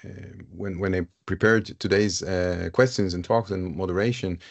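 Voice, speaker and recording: A man with a fairly low voice moderates a live panel conversation. He speaks in a thoughtful, searching way and often goes back over words as he builds a question. His delivery moves forward at an unhurried pace. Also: steady tone, vocal fry. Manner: thoughtful, searching, repeats phrases.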